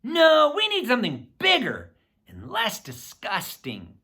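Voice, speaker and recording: A man's voice: high-pitched, huge pitch swings, a little slow, pushed and bright, voice pushed up, heavily acted. A man voicing an eager, business-minded schoolboy in an audiobook story, with an emphatic delivery. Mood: keen, businesslike.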